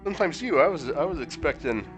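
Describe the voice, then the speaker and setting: A hoarse man streaming a horror visual novel, reading the dialogue aloud and adding sarcastic comments, sometimes jumping into high-pitched disbelief. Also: animated, quick pace. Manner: casual, sarcastic commentary with sudden high-pitched incredulous outbursts. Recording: music playing behind the voice.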